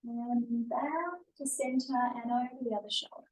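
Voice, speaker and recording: Mellow, higher-voiced woman guiding gentle yoga. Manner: gentle, unhurried soothing instruction. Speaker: yoga instructor. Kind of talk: guided yoga and breathing class. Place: yoga studio, live workshop. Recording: echoey room, distant mic.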